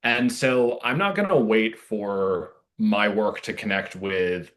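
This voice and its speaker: A male interview guest with a fairly low voice speaks casually and earnestly. He feels his way thoughtfully through sentences, pausing and stressing words deliberately. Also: a bit bright. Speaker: playwright guest.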